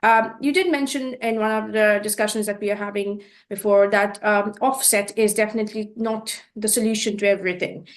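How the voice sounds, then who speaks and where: A woman's voice: mid-range voice, steady tone, fairly quick, few pauses. A woman hosting an interview, posing long questions politely with many fillers. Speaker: host.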